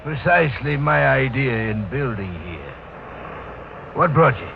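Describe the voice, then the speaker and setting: An actor playing a shady old island coroner, gravelly, wryly resigned and mock-courteous, in 1940s radio mystery style. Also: male voice, medium pitch, animated, unhurried. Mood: sly, resigned. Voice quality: gravelly, creaky. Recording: noisy, dull 1940s radio recording.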